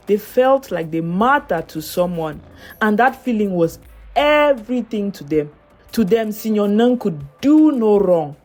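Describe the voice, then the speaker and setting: A woman narrates a dramatic folktale as a storyteller, her pitch moving in lively, wide swings. Her delivery is emphatic and scripted. Music plays under her voice.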